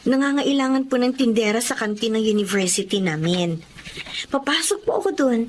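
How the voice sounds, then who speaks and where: Woman playing dutiful young Filipina student, gentle, respectful, highish voice, radio drama, faint noise. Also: expressive, normal speed, close to an everyday voice. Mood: earnest, reassuring. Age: young adult. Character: earnest, caring young university student daughter. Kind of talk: Tagalog radio drama acting.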